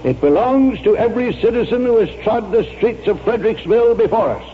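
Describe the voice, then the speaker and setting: A man's voice: high voice, expressive, fairly quick. A man acting a proud, earnest old colonel in a 1940s radio drama, speaking in formal, impassioned oratory. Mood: oratorical. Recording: dull 1940s radio recording, loud music.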